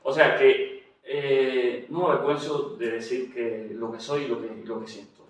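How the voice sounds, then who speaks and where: A man's voice: mid-range voice, fairly even intonation, a little slow, very echoey, far from the mic, rough recording. A man with a Cuban Spanish accent giving his testimony as an interview guest, speaking hesitantly with many fillers and restarts. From Cuba. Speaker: interview guest, general doctor.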